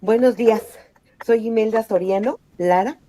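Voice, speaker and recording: A woman's voice: on the high side, normal speed, warm tone. A woman giving a brief, polite introduction to her class. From Cuernavaca, Mexico. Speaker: student. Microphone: video call.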